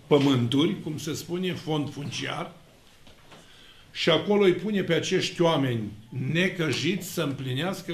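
Middle-aged priest preaching in an echoey church. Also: male voice, medium pitch, slight background noise. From Romania. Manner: emphatic preaching with slow, stressed words and lively hand gestures. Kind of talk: sermon. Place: church with icons, indoors. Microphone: fixed camera, mid shot.

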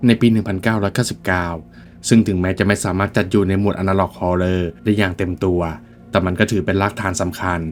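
A man's voice: low-pitched, little pitch movement, fast. A man reading scripted film commentary as a video essay narrator, explaining in an emphatic storytelling manner.

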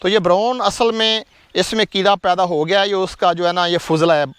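A fairly high-voiced man talks viewers through his farm out in the open fields. He chats easily, points things out as he walks, and speaks straight into a handheld camera mic. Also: fairly even intonation, a little fast, noisy background. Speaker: farm vlogger. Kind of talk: casual farm tour narration. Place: outdoors on a farm among fields.

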